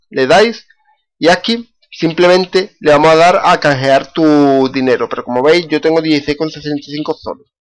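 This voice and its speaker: Man, slightly high voice, unhurried tutorial narration, lots of filler sounds. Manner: slow, step-by-step, many "eh" fillers. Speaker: YouTuber. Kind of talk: screen-recorded tutorial.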